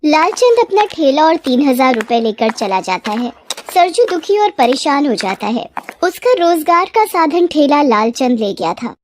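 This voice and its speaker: A woman's voice: sits high, big pitch swings, a little slow, a little mellow, a little hiss or hum. A woman narrating a scripted moral story as its storyteller.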